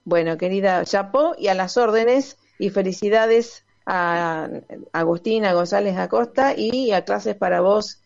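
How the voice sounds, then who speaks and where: A woman's voice: mid-range voice, measured pace, creaky, darkish tone, no echo, dull audio. A woman radio host, chatting casually with guests, full of fillers and tag questions.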